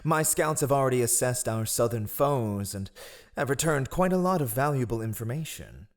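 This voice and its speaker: A man's voice: medium pitch, animated, normal speed, lightly acted. Man acting as a sly, theatrically swooping fantasy spy master. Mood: sly, assured. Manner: silky, sardonic, theatrically formal. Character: sly, ruthless male spy master, mockingly courtly adviser to a monarch. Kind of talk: fantasy audio roleplay acting.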